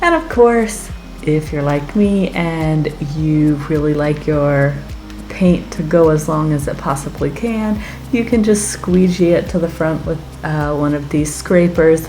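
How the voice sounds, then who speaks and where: A woman narrates a craft tutorial in a casual, enthusiastic, chatty way. Her voice sits a little low and is slightly raspy from being under the weather. She takes her time over the words, with music playing behind her. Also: unhurried, a little mellow. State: getting over a weekend sickness. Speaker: craft channel host.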